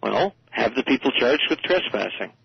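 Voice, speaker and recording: A man speaking informally as a guest commentator in a radio interview, halting and often rewording himself. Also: medium pitch, animated, vocal fry, husky, highs cut off.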